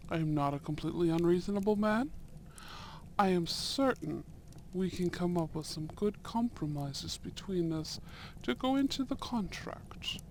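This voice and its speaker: A man playing a young, courteous and shrewd Edwardian viscount in a romantic audio roleplay, with formal, deliberate phrasing and soft murmurs. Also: high voice, a little hiss or hum. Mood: courteous, assured.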